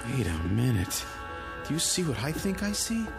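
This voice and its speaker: Excitable space crewman over loud music. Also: medium pitch, normal speed, vocal fry. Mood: shocked, agitated. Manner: urgent, argumentative. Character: impulsive, challenging male space crewman, excitable. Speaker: actor in a radio drama. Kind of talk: radio drama acting. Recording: loud music bed under dialogue.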